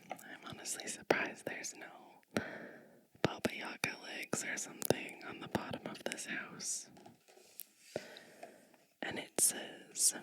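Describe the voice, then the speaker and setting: Woman doing whispered asmr storybook reading, super slow n breathy, gentle, right up on the mic. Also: rough recording. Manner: slow, gentle, hushed delivery with chatty asides. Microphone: close microphone.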